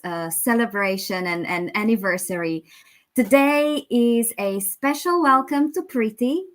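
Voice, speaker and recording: A woman moderating a panel, introducing guests in an effusive, welcoming way. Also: a little high.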